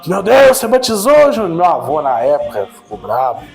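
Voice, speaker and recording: A man in his thirties giving a casual personal church testimony, animated at times and subdued at others, often tagging his sentences with a questioning "né". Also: fairly high pitch, a little fast, soft background music. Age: 30s. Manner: animated storytelling, frequent "né?" tags, swings between excited and subdued.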